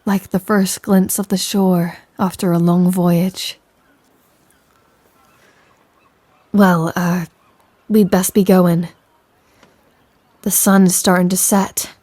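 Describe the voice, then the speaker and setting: A woman's voice: on the low side, level tone, takes it slowly, no room sound. Lady doing a pirate captain woman, pirate accent, flirty and commanding, close dry mic, audio roleplay. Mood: flustered, tender. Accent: stage pirate. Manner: teasing, gently commanding, old sailor slang. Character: swaggering, tender female pirate captain, gently commanding. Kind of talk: scripted roleplay audio, intimate second-person address.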